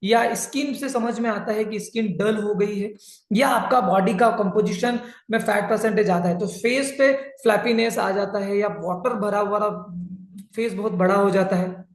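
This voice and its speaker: A man's voice: high voice, moderate pace. A man in his thirties, a fitness and health coach, patiently explaining body composition in a plain teaching style. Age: 30s. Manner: plain, patient explaining with many English terms. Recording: roomy echo.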